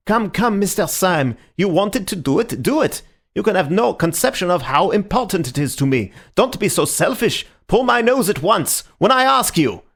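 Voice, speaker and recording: A man's voice: high-pitched, big pitch swings, moderate pace, voice pushed up, heavily acted. A man voicing an exasperated, flamboyant nobleman who pleads and rants in breathless bursts. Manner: pleading, then roaring, breathless tirades.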